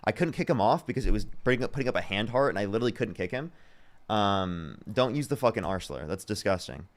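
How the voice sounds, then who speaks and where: Fast-talking young man hosting a debate stream. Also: on the low side, expressive. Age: young adult. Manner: rapid-fire, repeats screening questions, blunt. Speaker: livestream debate host. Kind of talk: live-stream debate and guest screening.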